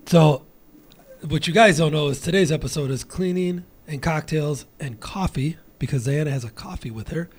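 A man hosting a casual interview podcast, excitable and rambling, often restarting his sentences. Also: on the high side, normal speed, husky. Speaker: podcast host.

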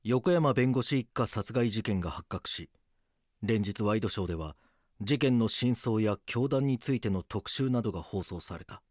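A low-pitched man a serious police detective in a Japanese-style crime audio drama. He narrates and speaks with grave, measured restraint. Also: animated, dry recording, clean studio sound. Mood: grave narration. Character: earnest male police detective narrating his investigation.

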